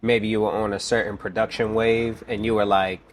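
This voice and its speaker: A man's voice: on the low side, level tone, normal speed, slight background noise. A man hosting a podcast, asking loose, rambling questions in a casual interview. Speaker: podcast host.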